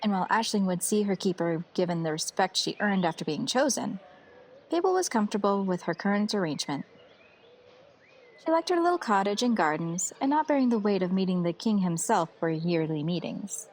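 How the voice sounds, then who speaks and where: A woman's voice: medium pitch, animated, a little fast, poor audio. A woman reading scripted fantasy story narration as the narrator of an audio series. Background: festival crowd ambience.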